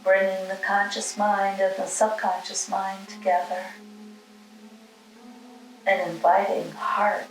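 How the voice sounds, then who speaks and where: Very slow, soothing woman leading meditation. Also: medium pitch, level tone. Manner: soothing, gentle instruction in short, spaced phrases. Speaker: guided meditation leader. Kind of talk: guided meditation, spoken slowly with long pauses. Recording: roomy, distant mic, music underneath.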